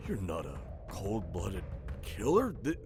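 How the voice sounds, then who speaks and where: Sympathetic tribunal member, male actor: lowish voice, expressive, earnest appeals, faint music, fantasy audio drama. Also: takes it slowly, heavily acted. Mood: pleading. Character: fair-minded, earnest male tribunal judge.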